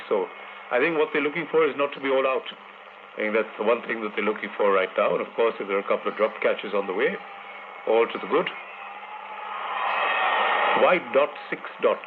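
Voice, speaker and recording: Guy doing live cricket commentary, wry and chatty, tinny over-the-air radio with hiss behind. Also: medium pitch. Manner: chatty, wry, laughs at dropped catches, rises in excitement on big hits.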